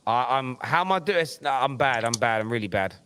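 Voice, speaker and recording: A man's voice: mid-range voice, expressive, brisk, a bit bright, a little hiss or hum. A man hosting a football fan livestream, chatting in a laid-back, chummy way and reading viewer messages aloud. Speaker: host. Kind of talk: casual chat, livestream reading super chats.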